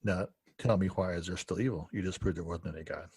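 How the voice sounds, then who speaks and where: A man hosting a relaxed podcast chat, with a very low voice and a husky grain to it. He talks loosely and chuckles between thoughts. The sound is dry and close to the mic. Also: quick pace, dry recording. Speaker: podcast host. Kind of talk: casual conversational interview.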